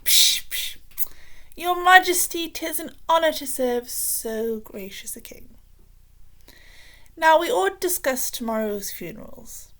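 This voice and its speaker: A woman voicing a scheming, flattering male royal advisor with a pompous manner in a children's storybook. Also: high voice, slow, voice pushed up, full character voice. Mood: fawning, pompous.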